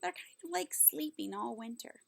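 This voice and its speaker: Woman, high voice, creaky edges, unhurried read-aloud for young children, simple teacherly explanations, faint background noise. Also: expressive. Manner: simple, instructional, pausing to explain and ask questions.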